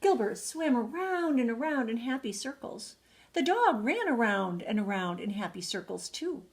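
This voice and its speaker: A woman's voice: a little high, very animated, normal speed. An older woman, a retired teacher, reading a children's bedtime story expressively, drawing out words for emphasis. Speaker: retired second-grade teacher.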